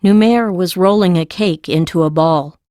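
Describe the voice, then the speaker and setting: A woman's voice: lowish voice, moderate pace, dark tone, dry recording, studio quality. A woman reading scripted story narration as the narrator of an audiobook.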